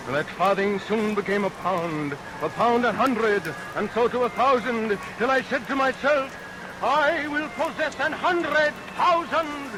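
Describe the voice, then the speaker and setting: An actor playing a greedy, murderous London barber, oily and menacing, in old-time melodramatic radio style. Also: male voice, high voice. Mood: greedy, gloating. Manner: theatrical, swings from fawning to furious snapping. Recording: noisy vintage radio recording, rain over it. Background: steady rain.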